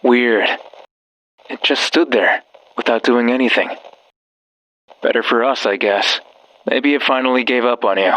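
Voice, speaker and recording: Casting: male actor as a menacing false friend for a horror visual novel, medium-pitched, coaxing and darkly wry. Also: a little slow, long pauses, a bit bright, no echo, highs cut off, lightly acted. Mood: wry, eerie. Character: sinister, coaxing male voice of a friend over a radio.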